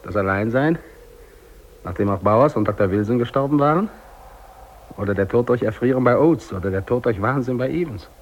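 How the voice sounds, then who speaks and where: An actor playing a dying, weary English polar explorer, speaking slow, declamatory monologue with long pauses in a 1950s German radio drama. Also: male voice, medium pitch, lo-fi sound, lightly acted. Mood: bleak, anguished. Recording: noisy old radio drama recording.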